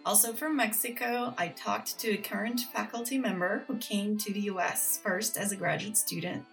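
A woman from Brazil hosting a podcast, reading her scripted narration in a measured, earnest way. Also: mid-range voice, creaky. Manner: measured, earnest, reads with steady emphasis. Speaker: podcast host. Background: faint music.

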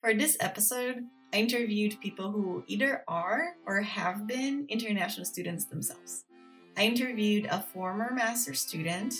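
A woman from Brazil hosting a podcast, reading her scripted narration in a measured, earnest way. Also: medium pitch, low-quality recording. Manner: measured, earnest, reads with steady emphasis. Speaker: podcast host. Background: faint music.

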